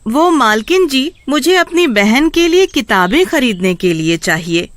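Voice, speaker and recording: Woman acting pleading, high-pitched anxious elder sister. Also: very animated, measured pace, a bit bright, no echo, full character voice. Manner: pleading, earnest. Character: poor, anxious, devoted young working-class elder sister, pleading.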